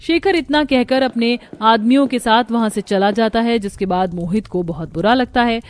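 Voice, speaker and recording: A woman's voice: on the high side, moderate pace. A woman narrator reading a scripted story in a storytelling style.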